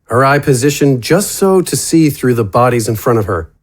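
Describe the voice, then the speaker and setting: A man's voice: mid-range voice, expressive, normal speed. A man narrating a fantasy audio drama in a scripted, dramatic storytelling style.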